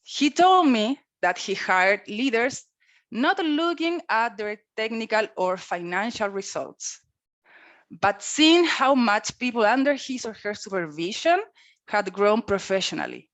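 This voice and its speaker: A woman giving an earnest, measured prepared speech on leadership over a video call. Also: fairly high pitch, lively intonation, fairly bright tone, dry recording. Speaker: club speaker.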